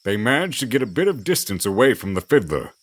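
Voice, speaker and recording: A man's voice: lowish voice, sing-song, quick pace. A man reading scripted fantasy story narration as the narrator of an audio drama.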